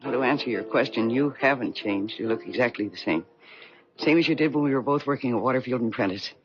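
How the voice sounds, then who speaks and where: An actress playing a worn, desperate woman of forty-three in a 1970s radio drama, with a husky voice. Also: rumbling low, quick pace. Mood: weary, subdued. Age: middle-aged. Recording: muffled vintage radio recording with music.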